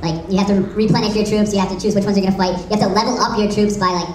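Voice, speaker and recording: A woman's voice: mid-range voice, steady tone, rapid-fire, darkish tone, slight background noise. A young woman co-presenting a fan panel in a casual, enthusiastic way, with some swearing. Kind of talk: informal fan panel talk. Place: convention panel room. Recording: echoey room, distant mic.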